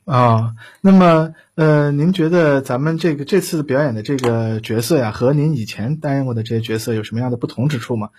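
A man hosts a live interview in a mid-pitched voice with a slightly husky grain. His phrasing is conversational and halting, as he feels his way through questions. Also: darkish tone. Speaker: interview host.

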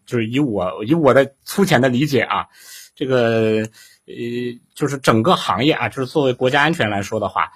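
A man with a middle-pitched, husky voice talks in a discussion. He explains his opinion conversationally, in halting stretches, often repeating a phrase before moving on. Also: expressive, a bit bright.

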